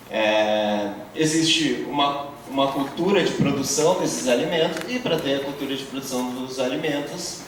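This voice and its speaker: A man teaching a university course, explaining ideas with many fillers and tag questions. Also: mid-range voice. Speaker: course instructor. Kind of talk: university lecture. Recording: very echoey, distant, faint room noise.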